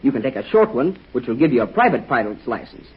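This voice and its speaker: A man's voice: mid-range voice, very animated, quick pace, lightly acted. An actor playing an earnest, cheerful American boy sidekick in 1940s radio acting style. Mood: eager. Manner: cheerful, eager, wholesome. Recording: muffled old radio recording.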